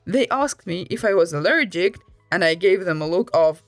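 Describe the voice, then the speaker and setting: A woman reading an online story aloud in an animated way, letting her pitch swoop up and down for emphasis. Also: moderate pace, no echo, soft background music. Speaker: story reader.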